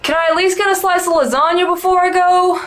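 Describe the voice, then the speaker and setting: A woman's voice: very high voice, fairly even intonation, fast. Woman playing a whiny, selfish husband in echoey room. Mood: indignant. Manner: petulant, indignant. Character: whiny, selfish, sulky husband in his thirties. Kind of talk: POV comedy skit. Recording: roomy echo, background noise.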